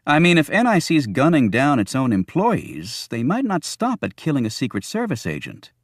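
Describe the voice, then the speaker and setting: A man's voice: medium pitch, wildly swooping pitch, normal speed, no room sound, clean studio sound, voice pushed up. A man voicing a worried, questioning member of an amateur sleuth group in an audiobook.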